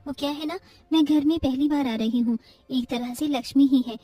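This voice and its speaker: A woman's voice: sits high, normal speed, mellow, recorded from a distance, close to an everyday voice. A woman voicing a meek, suffering young wife and devoted mother in a cartoon story, pleading and earnest.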